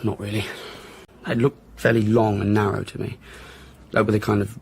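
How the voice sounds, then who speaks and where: A man's voice: on the low side, little pitch movement, moderate pace, creaky, a little hiss or hum. An actor playing a blunt, cynical male journalist in a radio drama, answering in an offhand, grudging way. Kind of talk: naturalistic radio drama acting.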